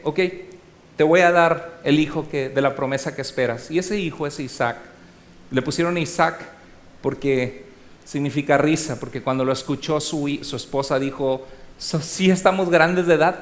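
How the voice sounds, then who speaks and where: A man preaching a sermon as pastor, emphatic, asking the congregation rhetorical questions and swinging between loud exhortation and quiet asides. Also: a little high.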